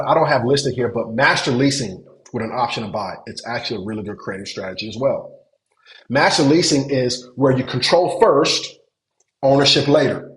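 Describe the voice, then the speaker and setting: A man from Nigeria coaching a webinar on hotel investing, repeating words for emphasis and checking that listeners follow. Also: mid-range voice. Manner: repeats words for emphasis, asks if it makes sense. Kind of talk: webinar teaching. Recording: echoey room.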